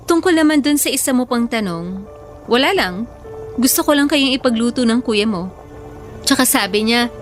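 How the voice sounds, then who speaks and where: A young mother and returning girlfriend played by a woman, high-pitched, with a Filipino accent. She talks conversationally, placating yet stubborn, as in a radio drama with light music behind her. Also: lightly acted. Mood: polite, casual.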